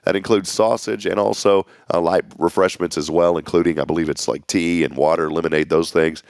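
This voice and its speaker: Hoarse low-voiced man hosting a morning show. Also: steady tone, a little fast, a little mellow, no echo, clean studio sound. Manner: folksy, upbeat community announcer. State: losing his voice. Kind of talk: local morning show announcements. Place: small local TV studio.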